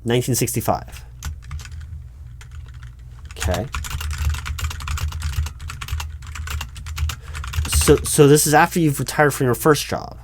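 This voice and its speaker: A man playing a politely incredulous recruiting manager in an improvised audio roleplay, speaking aloud in a halting, stammering way and repeating words. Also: mid-range voice, lively intonation, background noise, lightly acted. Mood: polite, probing. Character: flustered, politely incredulous male recruiting manager interviewing someone. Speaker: job interviewer. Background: computer keyboard typing.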